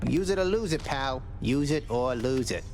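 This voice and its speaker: Man as a creaky, chummy underworld tipster. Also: medium pitch, animated, moderate pace, darkish tone, a little hiss or hum, lightly acted. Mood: chummy, sly. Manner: chummy, slangy. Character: cheeky, sly male tipster of the underworld lounge.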